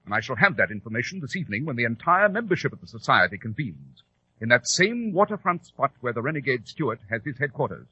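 An actor playing an eloquent English gentleman detective in 1940s radio drama, with a British accent and precise, formal diction. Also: medium pitch, sing-song, quick pace, slightly rough, heavily acted. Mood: confident, urgent. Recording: muffled vintage radio broadcast.

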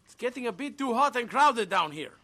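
A man's voice: very high-pitched, sing-song, fairly quick, crowd noise, full character voice. A man playing a jumpy but brave, wisecracking young survivor in a zombie audio drama. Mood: frantic, wry.

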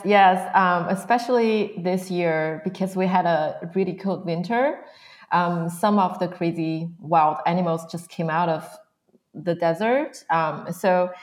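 A woman from northern China in her thirties, speaking as a guest in a casual interview, using many "um" fillers. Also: lowish voice. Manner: frequent "um" fillers, soft laughs.

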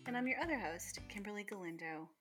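A woman's voice: mid-range voice, lively intonation, quick pace, music in the background. A woman co-hosting a podcast conversationally, effusive and complimentary as she speaks. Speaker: podcast co-host.

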